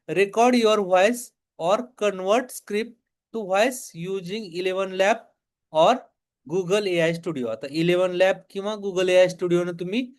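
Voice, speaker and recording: Male tutorial instructor, Marathi accent, notably high voice, explains each step and asks then answers his own questions. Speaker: online business tutorial instructor. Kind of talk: step-by-step screen demo tutorial.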